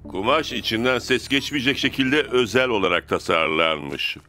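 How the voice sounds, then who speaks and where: An actor playing a stern, authoritative football coach in a cartoon dub, matter-of-fact in delivery. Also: male voice, mid-range voice, normal speed, pushed and bright, soft background music, natural voice. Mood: explaining. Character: stern, commanding male football team coach. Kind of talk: Turkish animated cartoon dub.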